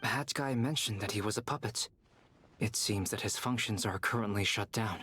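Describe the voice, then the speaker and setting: An actor playing a calm, courteous young alchemist in a video game, speaking in a measured, analytical way. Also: male voice, fairly low pitch, faint music behind. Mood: thoughtful.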